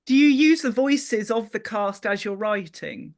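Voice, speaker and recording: A woman's voice: medium pitch, unhurried. Woman hosting a panel conversation. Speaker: panel host, interviewer. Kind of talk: conversational interviewing.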